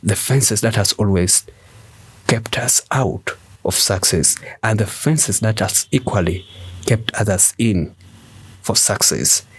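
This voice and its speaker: A man hosting a podcast, delivering a scripted motivational monologue in a slow, emphatic, preacher-like way with lots of repetition. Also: fairly low pitch, husky, no room sound. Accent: West African English. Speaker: podcast host.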